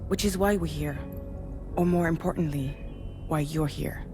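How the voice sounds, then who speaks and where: A woman's voice: deep voice, normal speed, music in the background. A woman acting a composed crew commander in a video game, explaining things in a matter-of-fact way. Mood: assured, earnest. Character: composed female commander of a civilian expedition crew.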